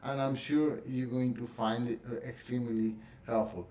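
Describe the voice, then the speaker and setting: A middle-aged man whose first language is Spanish talks to camera in a halting, casual way, full of fillers and restarts. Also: mid-range voice, fairly even intonation, dark tone. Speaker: vlogger. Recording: echoey room.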